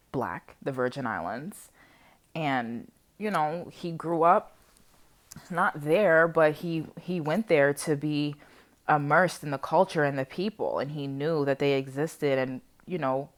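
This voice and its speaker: Woman, 20s, low-pitched voice, unscripted personal vlog, conversational and animated, wanders between thoughts. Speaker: vlogger sharing personal reflections on history. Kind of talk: casual unscripted chat to viewers.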